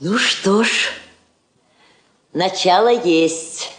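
An actress playing a dignified, dryly ironic woman diplomat in a Soviet radio play, speaking with measured poise. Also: on the low side. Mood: composed, wry. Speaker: actor. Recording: roomy old studio recording.

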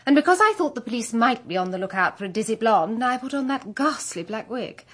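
Brazen, higher-voiced young thief. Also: female voice, expressive, brisk. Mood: cynical, bitter. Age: young adult. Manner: bold, mocking. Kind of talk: radio drama acting.

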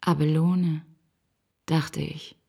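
A performer voicing a distant inner voice in a radio play, reciting slowly. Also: medium pitch, level tone, gravelly, warm tone, husky, exaggerated voice. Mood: pensive. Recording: distant voice over music.

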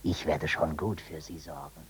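An actor playing a sly, servile crook who speaks obsequiously and insinuatingly in a 1950s radio drama, often dropping to a whisper. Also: male voice, rumbling low, fairly even intonation, normal speed, husky, full character voice. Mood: wheedling, conspiratorial. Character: sly, servile male crook feigning deference to his victim.

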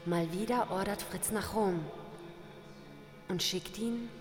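An actress playing an arch, playful narrator who recites rhymed verse in a sing-song, tongue-in-cheek way in a radio play. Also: female voice, medium pitch, slow, slight background noise, lightly acted. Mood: wry. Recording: music under the voice.

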